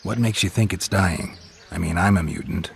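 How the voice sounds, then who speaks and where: An actor playing a gruff, dry-witted monster hunter in a video game, laconic and deadpan, with a low gravelly voice. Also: male voice, normal speed, a little hiss or hum. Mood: terse, businesslike.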